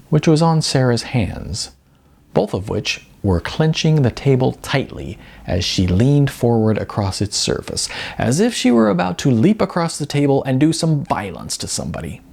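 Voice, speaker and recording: A man reading fiction aloud as a scripted narration, with some creak in his voice. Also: medium pitch, big pitch swings, measured pace, a little mellow. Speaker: audiobook narrator.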